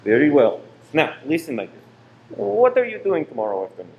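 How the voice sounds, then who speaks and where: A man's voice: fairly high pitch, wildly swooping pitch, brisk, narrow, tinny audio. Male actor as secretive, controlling cinema owner in radio drama; insistent delivery, echoey room, faint noise. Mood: suspicious. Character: tense, secretive married man running a cinema saboteur scheme. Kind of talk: amateur radio play acting. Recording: roomy echo with faint noise.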